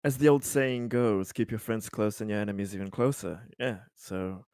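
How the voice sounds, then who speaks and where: A man improvising in-character talk at a tabletop roleplaying game, speaking in a halting, hesitant way. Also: fairly low pitch, steady tone, darkish tone, clear, resonant tone, dry recording, clean studio sound. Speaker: tabletop role-playing player.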